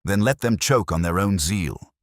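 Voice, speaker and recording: Guy doing a cool sharp detective for an audio story, super low voice, precise n dramatic, swingy intonation. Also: expressive, normal speed, no echo, clean studio sound. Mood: stern. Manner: precise, declarative, theatrical. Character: keen, commanding male detective, coolly triumphant.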